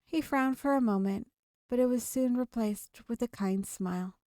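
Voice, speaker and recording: A woman reading a visual novel aloud for a gameplay video, expressive and dramatic, drawing out her delivery. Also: a little high, normal speed, dry recording. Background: game music.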